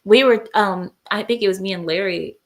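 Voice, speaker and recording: A woman's voice: medium pitch, moderate pace. A woman hosting a casual livestream, bluntly giving her opinions and replying to viewer comments. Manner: blunt and opinionated, with frequent hesitations. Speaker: livestream host.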